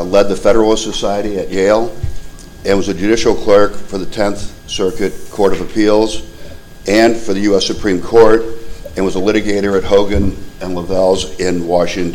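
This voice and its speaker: Low-voiced man moderating a forum. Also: a little mellow, a little hiss or hum, rough recording. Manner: plain, halting reading with frequent uhs. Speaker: debate moderator. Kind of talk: reading candidate introductions.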